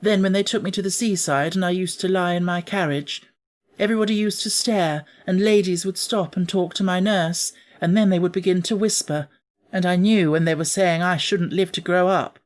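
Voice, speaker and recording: An imperious little invalid boy from a storybook acted by a male reader. He sounds frail and spoiled, with a petulant, deliberate delivery. The pitch sits raised. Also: natural voice.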